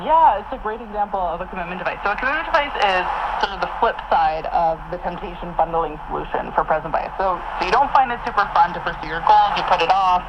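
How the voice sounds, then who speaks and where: Muffled, noisy radio interview, lower-voiced woman explaining. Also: fast talker, hardly any pauses. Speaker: researcher and teacher, interview guest. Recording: noisy, muffled radio reception, re-recorded from speaker.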